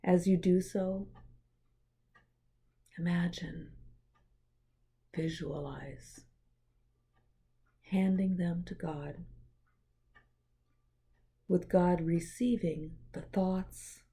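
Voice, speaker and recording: A woman leads a guided meditation in a lowish, husky voice. Her tone is very mellow and relaxed. She speaks gently and in a measured way, leaving breathy pauses between phrases. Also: rough recording. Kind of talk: guided meditation, soothing scripted narration.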